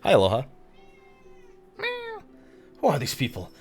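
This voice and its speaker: A man live streaming a game, commenting with excitable interjections and sarcastic asides and reading dialogue aloud. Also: on the high side, a little slow, faint music behind.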